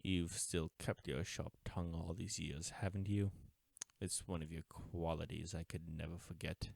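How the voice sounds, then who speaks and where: An actor playing an uptight government agent in an audio roleplay, speaking formally and deliberately, smug yet mourning a lost friend. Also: male voice, low voice, steady tone, vocal fry, a little mellow, no echo. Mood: smug, taunting. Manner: formal, deliberate, taunting with wistful undertones. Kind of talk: scripted audio roleplay drama, addressing the listener.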